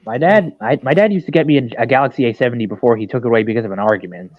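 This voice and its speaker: A man's voice: mid-range voice, racing, no room sound. A man who just woke up, calling into a livestream by phone, stammering and repeating himself. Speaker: caller on a livestream. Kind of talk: casual chat. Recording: dull phone audio.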